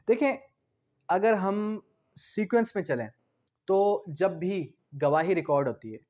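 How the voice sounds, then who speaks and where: A man's voice: high voice, darkish tone, muffled recording. A man with a Pakistani accent teaching law in a didactic lecture style, repeating key terms and posing questions that he then answers. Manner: didactic, repetitive, asking and answering own questions. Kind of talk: explanatory lecture mixing Hindi-Urdu with English legal terms.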